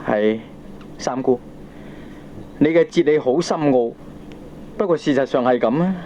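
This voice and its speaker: A man's voice: medium pitch, measured pace, warm tone, close to an everyday voice. An actor with a Hong Kong accent playing a doting, aggrieved middle-aged father, deferential and questioning, in a radio drama. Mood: deferential, resigned. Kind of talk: Cantonese radio drama acting. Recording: dull, slightly noisy old cassette recording.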